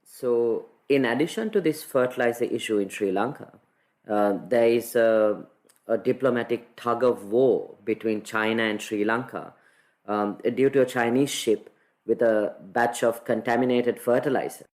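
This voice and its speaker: A podcast host leading a news roundup, wry and questioning, pausing often with "uh" and "um". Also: mid-range voice, a little mellow. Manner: wry and questioning, with many "uh" and "um" pauses. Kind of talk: conversational news analysis.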